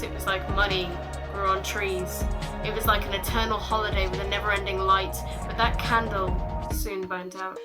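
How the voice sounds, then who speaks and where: Casting: woman, late teens, mid-range voice, dramatic and deliberate slam poetry delivery over prominent music. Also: medium pitch. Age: late teens, about eighteen. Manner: dramatic, deliberate phrasing with repetition. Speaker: slam poet. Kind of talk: spoken-word poetry performance.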